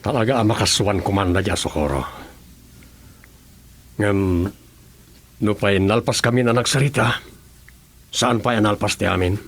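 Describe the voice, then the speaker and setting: Radio drama governor: low voice, Ilocano accent, firm, measured, upright authority. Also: male voice, a bit bright. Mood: resolute. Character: principled, firm male provincial governor.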